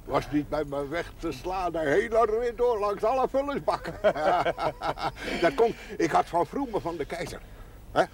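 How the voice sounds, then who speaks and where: An actor playing a kindly, slightly odd village garbage collector who chuckles often and talks in a folksy, mysterious way, from a 1970s television drama. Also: male voice, on the high side, animated, measured pace. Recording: noisy 1970s TV audio.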